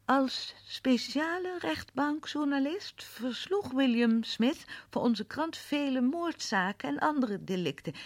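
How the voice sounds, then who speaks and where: Radio drama role: volatile murderess, high and sweeping in pitch, scornful and haunted. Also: female voice, no echo. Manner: reads aloud, muttering bitter asides, breaking into anguished stammering. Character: distraught woman killer, scornful, then horrified by guilt.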